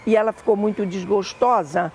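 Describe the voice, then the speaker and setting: An older woman with a Brazilian Portuguese accent, fondly recalling family memories in an oral history interview, often pausing to correct herself. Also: mid-range voice, darkish tone, a little hiss or hum. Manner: fond, meandering reminiscing with self-corrections.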